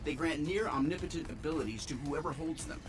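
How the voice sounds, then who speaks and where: An actor playing a confident, wisecracking superhero inventor in a video game, with a glib, wry delivery. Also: male voice, fairly high pitch, over music, natural voice. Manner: glib, wry, quick-witted. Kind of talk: video game cutscene acting.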